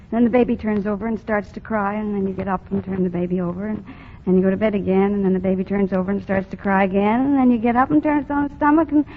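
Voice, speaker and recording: A woman's voice: mid-range voice, lightly acted. Casting: woman playing weary sardonic young mother, muffled old broadcast sound with faint hiss. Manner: dry, sardonic. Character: tired wisecracking young working mother. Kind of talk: 1940s radio acting.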